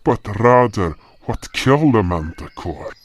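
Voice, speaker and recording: An actor playing a gruff, wary older adventurer in a fantasy audio drama. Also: male voice, lowish voice, big pitch swings, a little slow. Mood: grave, wary.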